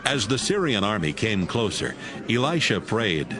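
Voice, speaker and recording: A man's voice: lowish voice, big pitch swings, moderate pace. A man narrating a dramatized Bible audiobook from a script. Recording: music behind the voice.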